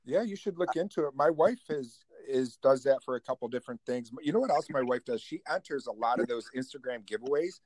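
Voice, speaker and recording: A man's voice: mid-range voice, expressive, fairly quick, hardly any pauses, darkish tone, dry recording, low-quality recording. A man appearing as a guest on a podcast, chatting in an easygoing, agreeable way. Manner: easygoing, agreeable, laughs along. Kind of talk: casual podcast chat.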